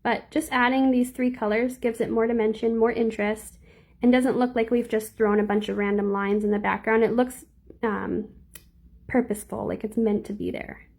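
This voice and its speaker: Young woman nail educator, slightly squeaky, a bit gravelly, chatty live-tutorial delivery. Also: level tone, normal speed, a little mellow. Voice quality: a little squeaky. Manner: chatty, reads and answers viewer comments.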